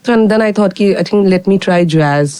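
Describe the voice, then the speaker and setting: A young woman with a husky voice with a low baritone edge. She is a singer talking in a rapid, chatty way, often saying "like" and "you know". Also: warm tone, dry recording. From Guwahati, Assam, India. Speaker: independent singer and co-host. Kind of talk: casual conversation.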